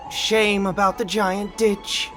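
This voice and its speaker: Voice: mid-range voice, fairly bright tone. An actor playing a wry, dramatic comic performer in a video game, with a dry storytelling delivery.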